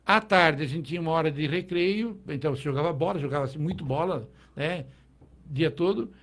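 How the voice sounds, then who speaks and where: Middle-aged man recalling at length, fairly high voice. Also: normal speed, a bit bright. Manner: reflective, with frequent restarts and tag questions. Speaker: guest, teacher. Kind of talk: conversational interview.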